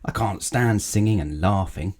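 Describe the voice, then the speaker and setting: A man's voice: sits low, moderate pace, a little mellow, breathy edge. A man voicing a grumpy, complaining storybook character who grumbles and moans. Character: grumpy, moaning man-like storybook grump, later pleading pig.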